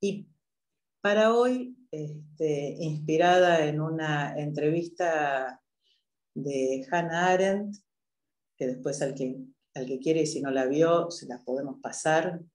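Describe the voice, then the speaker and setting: A woman moderating a public event, speaking in a measured way with hesitant filler pauses. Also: low-pitched, a little mellow. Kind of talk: introductory talk at a public event. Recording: echoey room.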